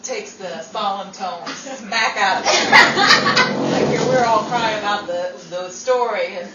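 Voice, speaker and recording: A woman chatting casually and giggly with her castmates, in an animated way. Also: medium pitch, slow, distant mic, highs cut off. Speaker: radio drama actor and co-host. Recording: echoey room, off-mic, background noise.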